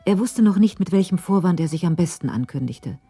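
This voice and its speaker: A woman with a deep, dark-toned voice narrates a radio crime drama. Her delivery is measured and literary, with faint music behind. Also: animated, breathy edge.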